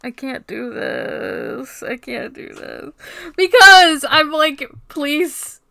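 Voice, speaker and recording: A woman's voice: high-pitched, huge pitch swings, drawn-out words, creaky, bright, forceful tone. A woman co-hosting a podcast in casual, joking banter, emphatic and playfully exasperated. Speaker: podcast co-host.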